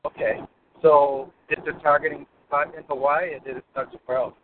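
A man's voice: a little high, little pitch movement, a little fast. Man calling in from his car, rambles and restarts, thin phone line, faint hiss. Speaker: caller. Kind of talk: call-in question. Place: car, driving to work.

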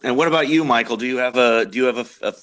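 A man's voice: medium pitch, racing, fairly bright tone. A man co-hosting a radio interview, asking casual questions a little hesitantly. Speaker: radio show co-host. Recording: dull lo-fi audio.

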